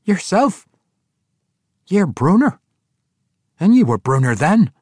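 A man's voice: high voice, sing-song, slow pace, a little mellow, slightly rough, no echo, pitched above the performer's own voice, heavily acted. Guy putting on a serious dwarf priest voice, dry studio read. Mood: earnest. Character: dwarf high priest, awed and earnest.

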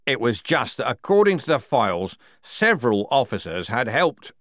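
A man's voice: medium pitch, sing-song, moderate pace, fairly bright tone, no echo, clean studio sound, pitched above the performer's own voice. A man voicing a shifty, snarling army captain in an audiobook, defensive and evasive in his speech. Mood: reluctant, defensive. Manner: hesitant, evasive. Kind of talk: audiobook character dialogue.

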